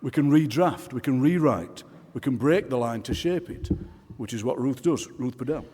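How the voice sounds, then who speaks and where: A man's voice: medium pitch, moderate pace, mellow, breathy edge. A man introducing a poet at a live event, speaking rhetorically with emphatic repetition. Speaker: poetry event presenter. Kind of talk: spoken introduction to a live audience. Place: large concert hall stage. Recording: long hall reverb on stage.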